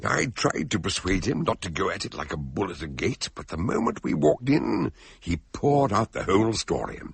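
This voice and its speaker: An actor playing a polite, sensible doctor who tells a shipboard mystery in a measured, hesitant way, radio drama style. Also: male voice, sits low, very animated, creaky, slightly rough, full character voice. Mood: narrating, grave. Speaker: narrator and character in a radio mystery. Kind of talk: radio drama storytelling and dialogue.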